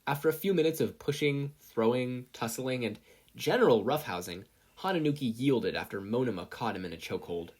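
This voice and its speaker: A narrator with a husky voice reading fan fiction as scripted audiobook-style narration. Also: medium pitch, animated, brisk, a little mellow.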